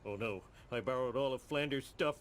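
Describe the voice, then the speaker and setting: A man's voice: medium pitch, brisk, a little hiss or hum, lightly acted. A man voicing a dim-witted, lazy, childish cartoon dad for a video game, talking in a dopey, blurting way. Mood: dopey grumbling.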